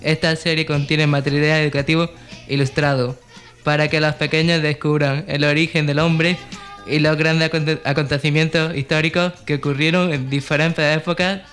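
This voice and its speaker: Spanish male co-host, slightly high voice. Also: flat delivery, normal speed, fairly bright tone, soft background music. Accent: Spanish from Spain. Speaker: radio show co-host. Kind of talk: casual radio chat, some read-out information.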